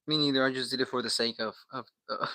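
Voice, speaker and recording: A man's voice: mid-range voice, fairly even intonation, fast talker, sharp tone, dry recording. A man from Guatemala, a native Spanish speaker, chatting casually on a livestream in a slangy, sweary, bro-heavy way.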